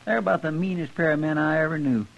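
An actor playing a folksy, loyal, deferential helper to a frontier marshal in a 1950s radio western. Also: male voice, on the high side, fast talker, gravelly. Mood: gossipy, concerned. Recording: noisy, muffled old radio recording.

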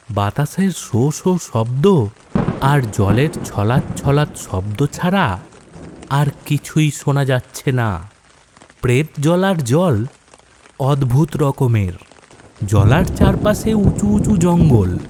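Slow, dramatic male horror narrator. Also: mid-range voice, noisy background. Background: background music.

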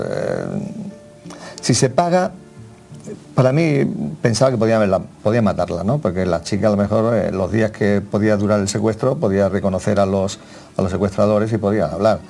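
A man the police, interviewed in a dark, creaky voice. He hesitates and restarts, with soft music behind him. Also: on the low side, normal speed. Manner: hesitant, broken phrases. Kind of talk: unscripted interview recollection.

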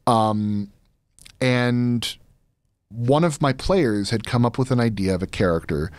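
A man's voice: mid-range voice, measured pace, no echo. A man in his thirties talking casually as an interview guest, close on a studio microphone. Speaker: podcast guest, voice actor and game master. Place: podcast studio, indoors. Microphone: close studio mic on boom arm, headset monitoring.

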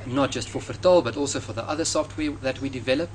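A man in his thirties with a South African accent, a native Afrikaans speaker, answering interview questions in a measured, earnest way outdoors in front of a hedge. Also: mid-range voice. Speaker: software developer. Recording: outdoor noise with passing traffic.

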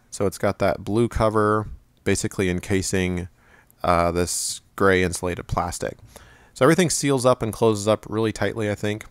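A man with a fairly low voice walks through a product review in a loose, rambling way, full of ums and restarts. The sound is dry and close, with little room echo. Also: smooth. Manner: relaxed, rambling with many fillers.

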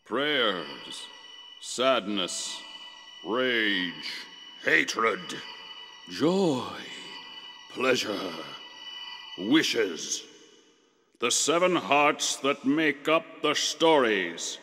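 An actor playing a power-hungry, scheming evil genie in a video game, grand, theatrical and menacing. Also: male voice, mid-range voice, animated, very slow, long pauses, exaggerated voice. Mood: grandiose menace. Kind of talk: dramatic villain game acting. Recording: echoey hall with loud music behind.

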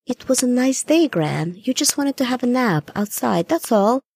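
A woman's voice: a little high, sing-song, moderate pace, creaky, darkish tone, husky, no room sound, voice pushed up, full character voice. A woman voicing a young granddaughter in a story, careful, coaxing and reassuring.

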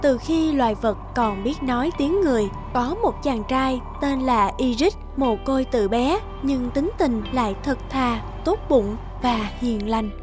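A woman narrates a children's fairy tale in a high voice. Her scripted storytelling plays over background music. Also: a little slow, hardly any pauses.

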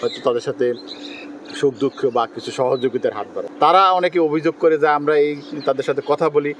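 A man asks his questions quickly and directly, with a plain mid-range voice. Faint outdoor noise with birds and pigeons sits behind him. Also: mid-range voice, fairly bright tone. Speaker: interviewer. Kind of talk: street interview questions.

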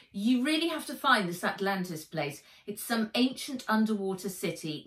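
Casting: bubbly, creative storybook girl played by a woman, high, animated, roomy distant sound. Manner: enthusiastic, exclamatory. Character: excitable creative teenage girl inventor.

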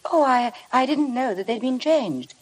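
An actress playing a timid, eager young bride in a 1950s radio drama, speaking earnestly and politely. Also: female voice, high-pitched, animated, normal speed, slightly rough, rough recording. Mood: nervous, polite.

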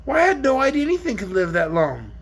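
A woman's voice: sits high, huge pitch swings, fast, husky, pitched above the performer's own voice, exaggerated voice. Woman doing a plucky storybook girl. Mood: curious. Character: curious plucky young girl.

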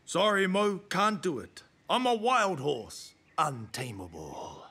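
Smug, animated cartoon stallion. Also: male voice, a little high, takes it slowly, breathy edge, heavily acted. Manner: laid-back, self-important, theatrical. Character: cool, smug, self-styled wild stallion. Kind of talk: preschool cartoon voice acting.

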